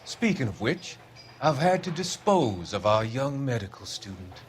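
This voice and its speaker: An actor playing a cold, scheming doctor with a measured, formal, condescending manner in a video game cutscene. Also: male voice, mid-range voice, big pitch swings. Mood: cold, controlled. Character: smooth, cold, scheming male doctor hiding menace.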